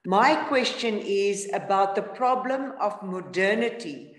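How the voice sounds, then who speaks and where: A woman moderating a seminar over a video call, speaking deliberately with hesitant fillers and reading out audience questions. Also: medium pitch, expressive, reverberant.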